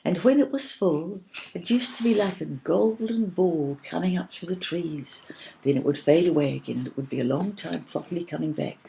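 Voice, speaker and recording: A woman narrating a children's story from a script in a gentle, expressive, measured way. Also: lowish voice, gravelly, slight background noise, low-quality recording.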